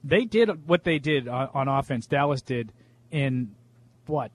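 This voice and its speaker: A man's voice: medium pitch, lively intonation, a little slow. A man with a Boston accent co-hosting a sports talk radio show, rambling through his points with restarts and asides. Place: radio studio.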